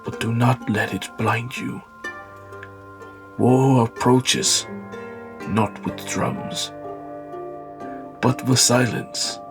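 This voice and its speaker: A man playing a stern, wise, ailing old Japanese father in an audio drama, speaking slowly and gravely with a weathered, husky voice. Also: medium pitch, steady tone. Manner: slow, grave, measured delivery. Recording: music bed under the voice. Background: background music.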